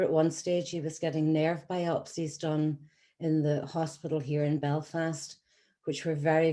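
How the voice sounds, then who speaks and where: An Irish woman in her sixties, a patient advocate telling her personal story to an audience in an earnest, measured way with frequent ums. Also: sits low, dark tone. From Scotland, then Ireland. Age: 60s. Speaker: patient advocate giving a talk.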